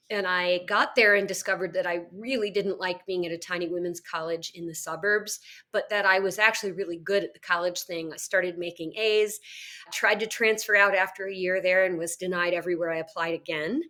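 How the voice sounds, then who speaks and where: A woman's voice: lowish voice, sharp tone. A middle-aged woman, a podcast guest, telling her own story candidly and plainly. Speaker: guest, educational crisis manager. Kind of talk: interview storytelling.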